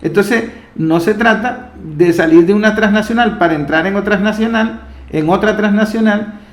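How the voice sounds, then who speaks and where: A man's voice: high-pitched, moderate pace. A Venezuelan man interviewing on politics, laying out reflective arguments in a discursive way. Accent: Venezuelan Spanish. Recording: roomy echo.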